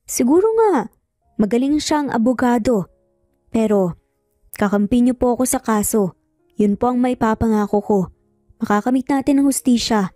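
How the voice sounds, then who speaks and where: Woman doing a principled lady lawyer for an audio drama, earnest n emphatic, soft mellow tone, music behind. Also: fairly high pitch, normal speed, pauses often, dry recording, studio quality, close to an everyday voice. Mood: determined, reassuring.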